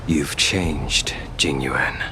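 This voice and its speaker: A man's voice: rumbling low, steady tone, extremely slow, noisy background, exaggerated voice. A man voicing a stern, reproachful man in a video game. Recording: music playing behind the voice.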